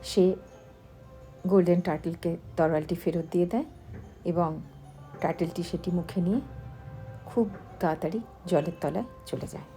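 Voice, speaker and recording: Low, dark-voiced woman narrating over music. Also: moderate pace, pauses often. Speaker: travel vlogger. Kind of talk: travel vlog voice-over narration.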